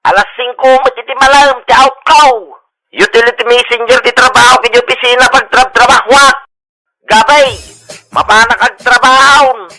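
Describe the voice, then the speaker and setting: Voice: medium pitch, fairly even intonation, moderate pace, sharp tone, dry recording, poor audio. An actor playing an exasperated, grumbling worker fed up with nosy neighbours in an Ilocano comedy radio drama. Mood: loud, defiant. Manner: grumbling, exclaiming.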